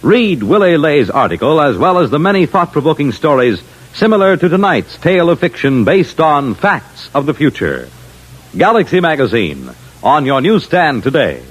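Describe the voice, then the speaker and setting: A man's voice: on the high side, big pitch swings, moderate pace, slightly rough, poor audio. A man announcing in scripted 1950s network radio style. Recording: vintage radio broadcast.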